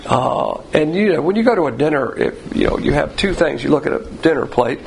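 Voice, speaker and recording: A man teaching a Bible class verse by verse, with a gravelly edge to his slightly raised voice. He talks in a folksy, conversational way and hammers points home by repeating them. Faint noise sits under the recording. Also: fairly high pitch, animated, brisk.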